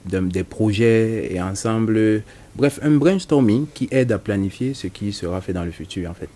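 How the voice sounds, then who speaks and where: A man from Cameroon whose first language is Bassa, a guest expert on digital technology who speaks in a measured, hesitant way, with fillers. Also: expressive. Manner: measured, hesitant, with frequent fillers and soft laughs. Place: TV studio.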